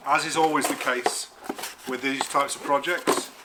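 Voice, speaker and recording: A man's voice: mid-range voice, fairly even intonation, moderate pace, heavy background noise. A man from Nottingham with an English accent, a guest designer chatting outdoors in a back garden, speaking plainly with hesitant ums. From Nottingham, England. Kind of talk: casual chat.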